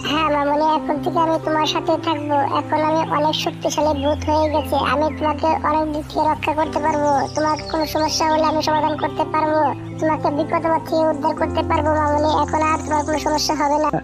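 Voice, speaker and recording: A woman's voice: high voice, monotone, fast, over music, lightly acted. A woman voicing a grieving mother bird turned ghost in a children's cartoon, pleading earnestly. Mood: tender, apologetic. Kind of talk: children's cartoon story voice acting.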